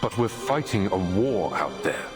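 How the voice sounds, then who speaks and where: An actor playing an earnest, resolute military officer in a game, making a measured, formal and persuasive case to a tribunal. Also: male voice, lowish voice, big pitch swings. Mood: firm, reasoned. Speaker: soldier defending himself at a tribunal. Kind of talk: dramatic game voice acting. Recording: long hall reverb, music behind.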